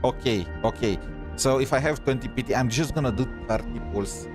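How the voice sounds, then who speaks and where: A man's voice: mid-range voice, fairly quick. A man streaming a game in casual chat, thinking aloud in a rambling way and repeating himself. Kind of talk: casual livestream chat while playing. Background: music behind the voice.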